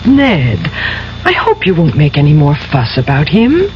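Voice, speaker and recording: A woman's voice: deep voice, huge pitch swings, measured pace, full character voice. A woman acting in a 1970s radio drama as a coaxing, needling, pragmatic society girlfriend. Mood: exasperated, coaxing. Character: Karen, lawyer's girlfriend, coaxing, pragmatic, sharp-tongued society daughter. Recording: dull, noisy old radio recording.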